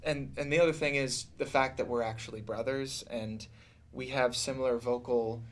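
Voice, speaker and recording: A man's voice: medium pitch, steady tone, moderate pace. Earnest man in his thirties, faint room noise. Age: 30s. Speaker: musician, tribute duo singer. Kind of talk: casual radio interview chat. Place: small radio studio, indoors. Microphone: studio mic on boom arm.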